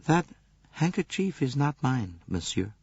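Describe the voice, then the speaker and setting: A man's voice: mid-range voice, big pitch swings, measured pace, dull audio, voice pushed up, exaggerated voice. A man voicing an earnest, passionate young American countess in an audiobook, with a composed, incisive tone. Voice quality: rich, clear-cut, incisive tone. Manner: earnest, quietly emotional.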